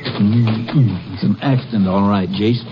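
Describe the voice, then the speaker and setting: A man's voice: on the low side, steady tone, a little slow, over music, lightly acted. Man acting folksy, puzzled sheriff on muffled radio. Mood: resigned. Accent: American. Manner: folksy, questioning. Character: plain-spoken, questioning male county sheriff. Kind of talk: 1950s radio western acting. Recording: dull, noisy old radio recording.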